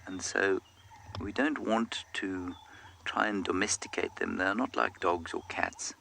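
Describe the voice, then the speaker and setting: A man guiding a live game drive, calmly explaining the animals to viewers from outdoors in the bush. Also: sits low, a little slow, vocal fry, a little hiss or hum. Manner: calm, explanatory, speaks directly to viewers. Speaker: safari guide.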